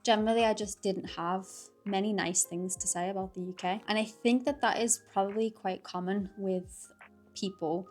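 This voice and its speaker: A woman's voice: medium pitch, steady tone, normal speed, a little mellow, soft background music. Chatty young Liverpool woman vlogging. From Liverpool, England. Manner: chatty, lots of "like", frequent laughs. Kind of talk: casual chat to camera.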